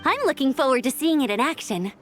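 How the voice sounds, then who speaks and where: A woman's voice: high voice, big pitch swings, fast, over loud music. A woman voicing an upbeat young scout in a video game, youthful, breezy and confident. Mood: eager. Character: upbeat, energetic young female scout, eager to help.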